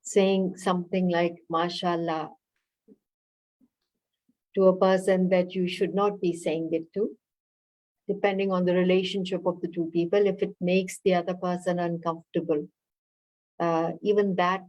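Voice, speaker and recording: A woman instructor explaining workplace rules on a webinar video call, measured and explanatory, pausing often on "uh" and "you know". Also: fairly low pitch, little pitch movement, a little mellow, rough recording.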